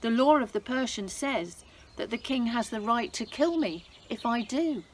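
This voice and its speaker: A woman's voice: on the high side, lively intonation, moderate pace, slight background noise, voice pushed up, lightly acted. A woman voicing a brave young queen who speaks firmly and earnestly in a read-aloud Bible story. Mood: worried. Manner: firm, earnest.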